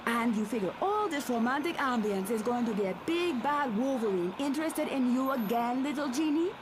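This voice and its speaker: A woman's voice: a little high, expressive, normal speed, natural voice. A young woman acting a sassy, teasing superheroine in a motion comic. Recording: clear background ambience noise.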